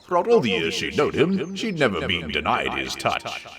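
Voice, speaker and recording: Male comic narrator: low register, very animated, wry and theatrical, slightly distant recording. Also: normal speed. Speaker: story reader.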